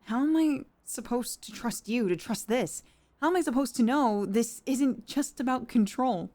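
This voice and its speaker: A woman playing a distressed young employee cornered by her boss, speaking in a halting, sighing, hurt way in an intimate dramatic roleplay monologue. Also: a little high, mellow, lightly acted. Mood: conflicted, vulnerable. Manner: halting, sighing, emotionally wounded delivery.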